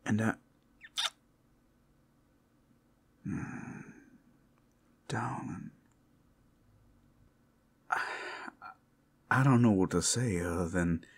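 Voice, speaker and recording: A man playing a tender, protective werewolf lover in an intimate ASMR roleplay, speaking in halting, heartfelt phrases full of endearments. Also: fairly low pitch, slightly rough, heavily acted. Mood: tender, earnest. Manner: soft endearments, halting heartfelt delivery, teasing asides. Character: tender, devoted, protective male werewolf lover working at a brothel.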